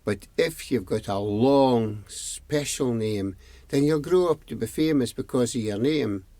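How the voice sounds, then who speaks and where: A man's voice: mid-range voice, a little slow, mellow, no echo, lightly acted. A man voicing a sceptical, astonished Scottish husband in a folk tale, questioning and incredulous.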